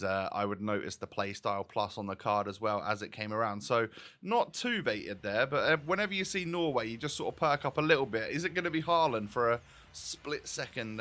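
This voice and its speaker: A man's voice: lowish voice, bright, forceful tone. A man hosting a gaming video with casual, chatty, rambling and self-deprecating commentary.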